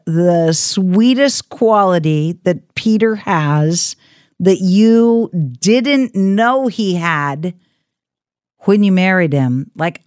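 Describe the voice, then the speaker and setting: A woman hosting a call-in radio show, chatting gently and playfully with a caller. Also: mid-range voice, no room sound, studio quality. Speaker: radio host. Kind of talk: late-night radio call-in chat. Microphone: studio mic.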